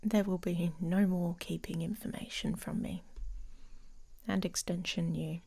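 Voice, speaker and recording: A commanding female werewolf acted by a woman in an intimate audio roleplay. Her voice is slightly low, dark and husky, breathy and often close to a whisper. She speaks slowly, blunt and teasing with a protective streak. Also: fairly even intonation, exaggerated voice. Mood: reassuring, blunt.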